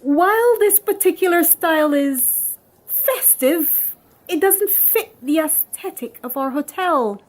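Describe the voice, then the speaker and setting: A woman's voice: extremely high pitch, lively intonation, slow, pitched above the performer's own voice, exaggerated voice. A woman voicing a prim, condescending female hotel manager, officious and falsely polite, in a dramatic script reading.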